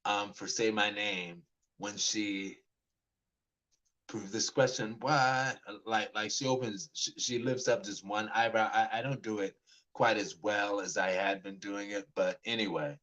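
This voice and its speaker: A man around forty chats in an unhurried, rambling way, often stumbling and restarting his sentences. His voice sits a little low, and he acts out both sides of the conversations he retells. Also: fairly even intonation, fairly bright tone, poor audio. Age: 40s. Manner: rambling, many restarts and stammered repeats. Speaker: vlogger. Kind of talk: casual monologue to camera.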